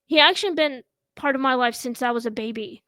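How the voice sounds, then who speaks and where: A woman in her thirties from Russia, hosting a casual home chat show and talking in a slow, halting way with many pauses. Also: high voice, bright, forceful tone, no echo, studio quality. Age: 30s. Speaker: host.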